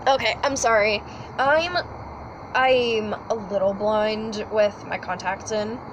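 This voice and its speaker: A woman's voice: medium pitch, steady tone, slow, noisy background. A teenage girl chatting casually about school, rambling with lots of fillers and apologies. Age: teens. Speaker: student co-host. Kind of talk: casual chat.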